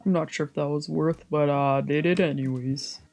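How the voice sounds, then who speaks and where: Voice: mid-range voice, steady tone, normal speed, a little mellow, faint music behind. A streamer giving casual, reactive let's play commentary on a visual novel, often muttering and exclaiming to themself.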